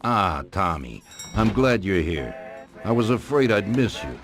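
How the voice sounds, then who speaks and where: An actor playing a genial, commanding older mob boss in a video game, with a measured, authoritative way of talking. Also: male voice, fairly low pitch, sing-song, music in the background. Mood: warm. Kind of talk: video game cutscene acting.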